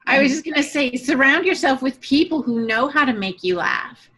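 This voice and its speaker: A woman's voice: high-pitched, animated, normal speed, fairly bright tone. A woman co-hosting a podcast, chatting casually and playfully as she tells personal anecdotes. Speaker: podcast co-host.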